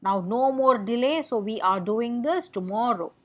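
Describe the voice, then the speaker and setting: A woman's voice: fairly high pitch, expressive, normal speed. A woman with an Indian accent voicing an emphatic, easily spooked male boss in a children's story. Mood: furious, commanding. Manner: emphatic, commanding.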